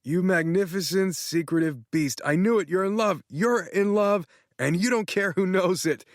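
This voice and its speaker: A man voicing an excitable, flamboyant male friend who talks in theatrical gushes. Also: high voice, moderate pace, dry recording, clean studio sound, voice pushed up. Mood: gushing. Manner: theatrical, gushing.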